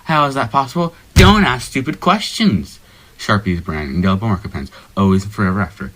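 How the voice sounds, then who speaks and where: Doom-laden existential pitchman male actor, fairly low, ominous and intense, parody radio commercial. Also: lively intonation, brisk, a bit bright. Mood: intense, insistent. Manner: ominous, portentous, building to intensity. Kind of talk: parody radio advertisement monologue.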